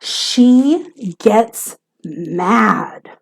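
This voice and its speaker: Lady teacher doing a kids book read aloud, high voice, big swoopy theatrical delivery. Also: drawn-out words, vocal fry, husky. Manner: theatrical, expressive, emphatic on key words. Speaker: teacher reading aloud to children.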